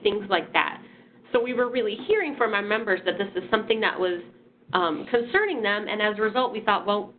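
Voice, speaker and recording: A woman's voice: medium pitch, slight background noise. Nasal woman hosting a webinar. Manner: friendly, many ums and restarts. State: nasal from a sinus infection. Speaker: webinar host and presenter. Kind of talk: webinar presentation. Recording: phone-like audio.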